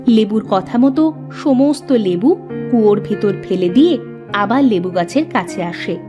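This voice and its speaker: A woman's voice: mid-range voice, lively intonation, a little slow, highs cut off, over music. A woman giving scripted narration for a cartoon fairy tale.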